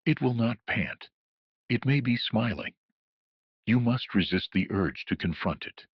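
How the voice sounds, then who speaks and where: A man's voice: medium pitch, lively intonation, measured pace, slightly rough. A man narrating a scripted horror emergency broadcast as a solemn, ominous official announcer, in muffled lo-fi audio with a roomy echo.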